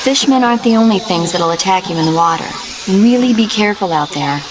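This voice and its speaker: A woman's voice: medium pitch, lively intonation, fairly quick, noisy background, natural voice. An actress playing a caring, teasing young woman paramedic who gives earnest advice over radio support in a video game. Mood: earnest concern. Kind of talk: video game voice acting, scripted radio conversation.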